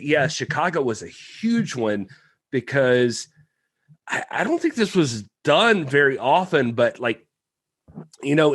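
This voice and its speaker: A man co-hosting a film podcast in an easy, rambling way, full of fillers and self-deprecating asides. His voice is mid-pitched, and the recording is dry and close. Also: a bit bright, dry recording. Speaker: podcast co-host. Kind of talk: casual film podcast chat.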